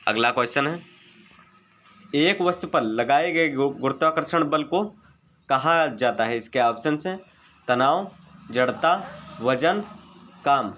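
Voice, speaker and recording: Bright-voiced man reading quiz questions. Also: medium pitch, animated, unhurried, pauses often, a little hiss or hum. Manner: methodical, formulaic delivery. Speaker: exam-prep physics teacher. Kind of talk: reading out multiple-choice quiz questions and answers.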